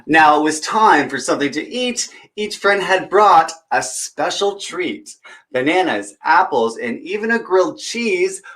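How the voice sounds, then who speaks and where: Higher-pitched man reading a children's story. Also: expressive, measured pace, a bit bright. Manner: encouraging, talks directly to young listeners. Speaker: children's story time reader. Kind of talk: read-aloud picture book storytelling with chatty asides.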